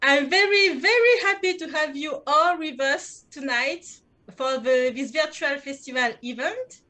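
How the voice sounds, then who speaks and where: Woman, French accent, host, high-pitched, bright voice, giggly, video call. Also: measured pace. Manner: giggly, friendly questioning. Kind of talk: hosting a video-call author panel.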